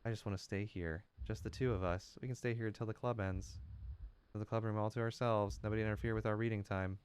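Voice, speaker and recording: A man reading the part of a shy, intensely clingy teenage schoolgirl in a visual novel, soft-spoken and earnest. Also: lowish voice, fairly even intonation, quick pace, clean tone, lightly acted. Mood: anxious, clingy.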